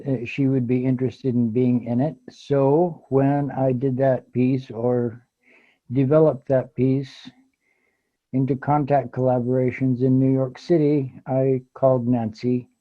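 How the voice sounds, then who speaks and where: This is a man in his seventies with a mid-range pitch and a very unhurried delivery. He reminisces off the cuff, pausing long between words, and the online call sounds narrow and lo-fi. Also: flat delivery. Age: 70s. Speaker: memorial speaker. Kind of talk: unscripted personal reminiscence. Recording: dull, lo-fi online call audio.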